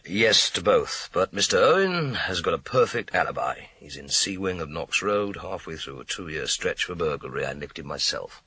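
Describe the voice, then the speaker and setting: An actor playing a weary, sardonic police inspector in a radio crime drama, blunt and dry in manner. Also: male voice, sits low, big pitch swings, moderate pace, hardly any pauses, bright, forceful tone, breathy edge, no room sound, full character voice. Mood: grim, dry.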